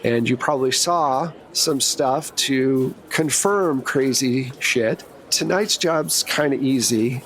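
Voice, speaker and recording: A man's voice: mid-range voice, slow, few pauses, fairly bright tone, slight background noise. Tabletop role: eccentric male fed, chatty, offhand, dryly self-aware, played by a man. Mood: casual briefing. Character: talkative, laid-back, eccentric male government agent.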